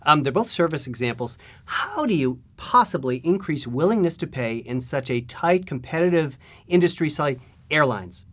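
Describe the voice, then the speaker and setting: Eager male host, slightly higher voice, chatty rambling questions. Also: expressive, moderate pace, a bit bright. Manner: enthusiastic, rambling questions with fillers. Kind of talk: interview host.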